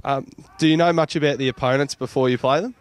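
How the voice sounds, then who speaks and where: A man's voice: medium pitch, steady tone, fast. Australian man reporting sports news. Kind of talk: scripted sports news report with casual interview questions.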